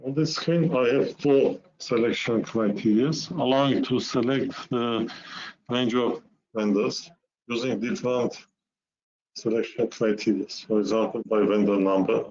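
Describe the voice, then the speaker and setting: A man's voice: medium pitch, fairly even intonation, normal speed, a little mellow. Man presenting in muffled webinar audio. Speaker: software presenter. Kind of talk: software demo webinar narration.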